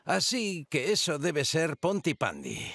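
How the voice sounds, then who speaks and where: An eager but hesitant bumbler of a man from a cartoon. He speaks slowly and haltingly, creaky and swooping, flustered. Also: a little high, wildly swooping pitch, no echo, heavily acted. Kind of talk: animated children's cartoon dub. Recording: loud music under the voice.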